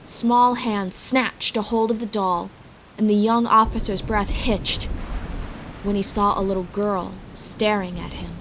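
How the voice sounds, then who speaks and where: A woman's voice: medium pitch, unhurried, a little hiss or hum, low-quality recording. A woman reading scripted fantasy story narration as the narrator. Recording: steady rain and storm ambience behind.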